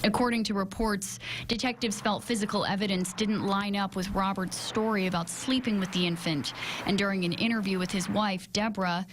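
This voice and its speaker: American woman, news reporter, mid-pitched scripted narration, slight noise behind the voice. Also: fairly even intonation, a little fast.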